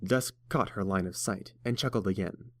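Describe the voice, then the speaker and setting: A man's voice: sits low, normal speed, no room sound. A man narrating a story from a script as a storybook narrator.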